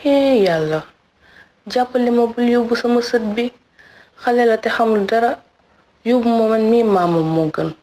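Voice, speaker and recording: A woman's voice: fairly high pitch, moderate pace, long pauses, dark tone, faint music behind, lightly acted. A woman with a Senegalese accent voicing an anxious, lamenting old grandmother in a comic cartoon. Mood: pleading.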